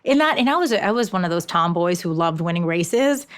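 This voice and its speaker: A woman's voice: lowish voice, lively intonation, brisk. A woman in her forties giving an interview as an author, telling stories animatedly with frequent restarts. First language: Japanese. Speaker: author and education consultant, guest. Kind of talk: conversational interview.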